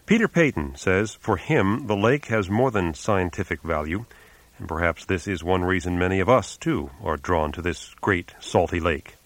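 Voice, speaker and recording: A man with a fairly low voice hosts a radio environment program. He reads his script in a measured, announcer-like way and poses careful questions to his guests. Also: expressive, no echo. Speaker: radio show host and reporter. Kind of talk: scripted radio narration and interview.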